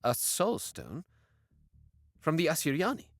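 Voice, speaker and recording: A man playing a bitter, scheming young alien warrior, narrating and speaking with measured, guarded care in an audio drama. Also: fairly high pitch, huge pitch swings, vocal fry, no room sound, full character voice. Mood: conspiratorial. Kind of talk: first-person dramatic narration and dialogue.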